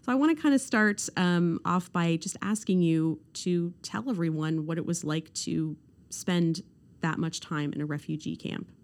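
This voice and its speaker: A woman, hosting an interview podcast and asking gentle questions. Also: on the low side, normal speed. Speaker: podcast host. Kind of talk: interview hosting, scripted intro.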